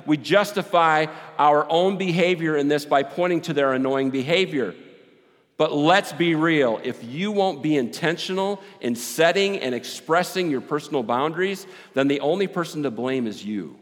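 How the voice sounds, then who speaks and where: A man preaching a sermon with a big voice, joking and animated, swinging between loud and quiet. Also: fairly high pitch, moderate pace. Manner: animated, joking, with rising and falling volume. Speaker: pastor. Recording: long hall reverb.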